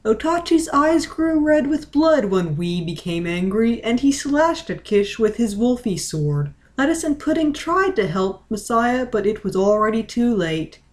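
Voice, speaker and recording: A woman reading a story aloud as dramatic, deliberate narration. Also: on the high side, sing-song. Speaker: reader of a fan-fiction story.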